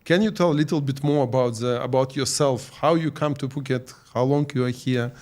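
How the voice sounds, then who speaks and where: Halting male podcast host. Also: mid-range voice, a little mellow. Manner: halting, with many fillers and tag questions. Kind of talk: casual interview. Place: podcast studio.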